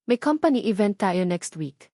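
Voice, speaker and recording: A woman voicing a calm, stern male boss who speaks low and controlled, in a story narrated by text-to-speech. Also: normal speed, dry recording, clean studio sound. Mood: serious.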